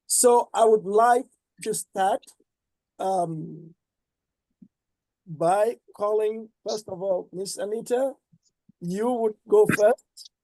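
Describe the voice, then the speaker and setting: A man moderating a webinar panel, speaking in a measured, courteous way over a video call. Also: high voice, mellow, no room sound, rough recording. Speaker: seminar moderator.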